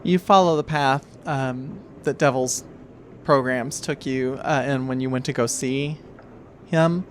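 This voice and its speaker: A man narrating a tabletop game as game master, improvising in a measured, halting way. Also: mid-range voice. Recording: steady noise behind the voice.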